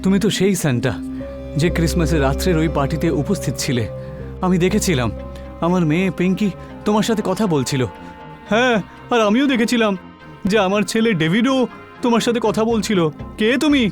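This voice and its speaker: A man voicing an alarmed father confronting a sinister stranger in an animated horror story. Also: sits high, brisk, pauses often, soft background music. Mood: alarmed, accusing.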